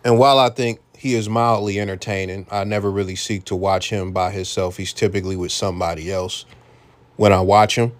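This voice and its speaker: A man's voice: deep voice, steady tone, brisk. An American man hosting a reaction commentary, talking bluntly and casually with frequent profanity. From United States. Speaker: commentary channel host.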